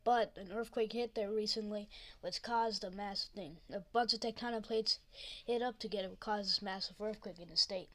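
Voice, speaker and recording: A woman's voice: medium pitch, steady tone, moderate pace, a bit bright. A woman presenting an improvised mock local news report as an amateur news anchor.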